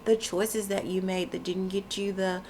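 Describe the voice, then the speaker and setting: A woman's voice: mid-range voice, level tone, normal speed, a little mellow, slight background noise. A woman talking casually to camera with a stuffy nose and a froggy voice, chatty and loose with many fillers. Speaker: wellness vlogger. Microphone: camera mic.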